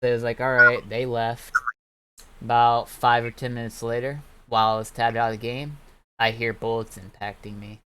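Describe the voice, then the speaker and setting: A man's voice: medium pitch, level tone, normal speed, noisy background. A man casually telling a rambling, sweary anecdote over voice chat during a game stream. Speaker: game server owner and admin. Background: music.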